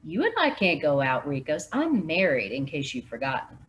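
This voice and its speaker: A woman's voice: sits low, wildly swooping pitch, moderate pace. A woman reading the lines of a big, playful male deputy who likes to tease his partner, in a storybook mystery. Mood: teasing. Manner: teasing, playful.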